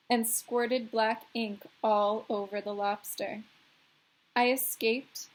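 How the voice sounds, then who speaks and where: Slow, high-voiced woman reading to children. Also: rough recording. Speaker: host of a children's storytime. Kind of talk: read-aloud storytelling for children.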